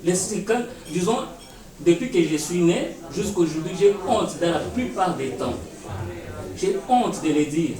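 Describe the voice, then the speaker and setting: A man's voice: high voice, expressive, unhurried, a crowd in the background. A man from Kinshasa speaking emphatically and indignantly in a debate, repeating his points. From Congo (Kinshasa). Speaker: audience member. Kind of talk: impassioned debate intervention. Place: conference hall with audience. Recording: echoey hall, distant mic, audience.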